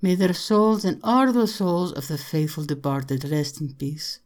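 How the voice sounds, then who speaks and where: A woman voicing a young Irish woman in an audiobook, sensitive, earnest and quick to defend herself. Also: low-pitched, fairly even intonation, darkish tone. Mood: solemn. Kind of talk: audiobook character dialogue.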